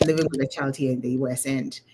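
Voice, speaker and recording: A woman with a low-pitched voice talks informally about her own experiences. She is a chatty interview guest, and there is faint noise behind her on the recording. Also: fairly even intonation, fast talker, dark tone. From Uganda. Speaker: interview guest, leadership coach. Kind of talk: conversational personal storytelling.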